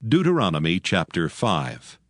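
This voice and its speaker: A man narrating an audio Bible in a measured, formal manner. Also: low-pitched, wildly swooping pitch.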